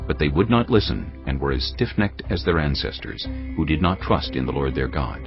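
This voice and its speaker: A man narrating dramatised scripture from a script in a grave, measured, dramatic manner. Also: rumbling low, lively intonation. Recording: dull audio, music underneath.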